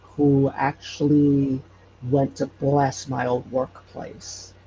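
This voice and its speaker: Male podcast guest, very creaky voice, slow halting delivery, slightly muffled lo-fi recording. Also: mid-range voice, flat delivery, mellow. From Hawaii. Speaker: podcast guest, audio drama creator. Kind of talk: casual interview chat. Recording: dull lo-fi sound, faint noise.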